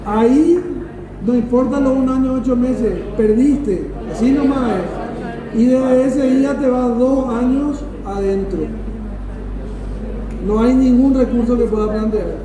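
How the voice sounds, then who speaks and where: A man's voice: very high voice, fairly even intonation, measured pace, far from the mic, crowd noise. A man with a Paraguayan accent teaching law in a classroom, explaining didactically with emphatic repetition and questions to his students. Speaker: law professor. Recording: echoey room from afar, noisy.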